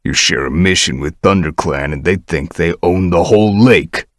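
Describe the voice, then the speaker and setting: A man's voice: low-pitched, moderate pace, no room sound, clean studio sound, close to an everyday voice. A man voicing a resentful male warrior cat in a storybook, hissing his complaint.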